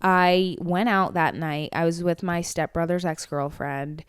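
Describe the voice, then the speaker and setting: Smooth-voiced woman telling candid stories. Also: lowish voice, fairly even intonation, no room sound, studio quality. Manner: candid storyteller, swears freely, quick laughs. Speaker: podcast co-host. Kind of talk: casual chat.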